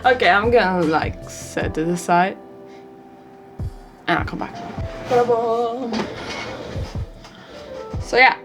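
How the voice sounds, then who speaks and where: A woman's voice: fairly high pitch, slow pace, pauses often, music in the background. Giggly eighteen-year-old woman vlogger. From Europe. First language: not English. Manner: giggly, rambling, self-deprecating. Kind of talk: casual birthday vlog chat.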